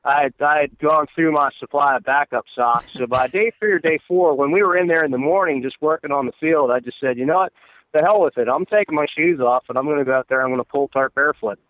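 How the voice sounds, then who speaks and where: A man's voice: fairly high pitch, fast talker, dry recording. Man on a tinny phone line. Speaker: guest, former grounds crew member. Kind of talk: casual storytelling chat.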